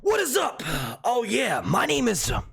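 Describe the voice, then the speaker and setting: Young male streamer, naturally loud and projecting, casual and profane, animated delivery. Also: very high voice, normal speed, vocal fry, breathy edge. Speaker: YouTube live stream host. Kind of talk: casual live stream chat. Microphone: desk mic.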